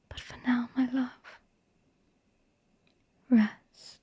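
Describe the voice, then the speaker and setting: A woman playing a tender, melancholy vampire wife in an intimate ASMR roleplay, murmuring softly and breathily, half in a whisper. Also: fairly high pitch, slightly rough, no room sound, exaggerated voice. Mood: tender, aching. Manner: slow, hushed, lovingly murmured.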